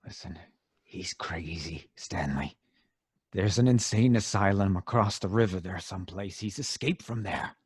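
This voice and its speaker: An actor playing a hot-headed, defiant robber in an old-time radio drama, with a rough, belligerent voice. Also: male voice, low voice, a little slow, a little mellow, heavily acted. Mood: hushed, wary. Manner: gruff, belligerent.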